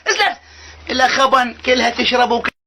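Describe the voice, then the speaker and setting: A man voicing a cheeky, excitable underling in a comic cartoon, with an Iraqi accent. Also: very high voice, fast talker, gravelly, crowd noise, exaggerated voice. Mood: rousing. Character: cheeky, excitable underling answering his superior.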